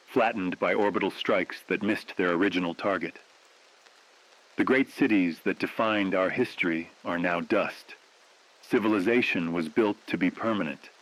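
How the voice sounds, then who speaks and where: A man playing a grave, defiant radio host at the world's end, delivering scripted news in a solemn, poetic, measured way. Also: deep voice, a bit bright, rough recording. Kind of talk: scripted dramatic apocalyptic news broadcast.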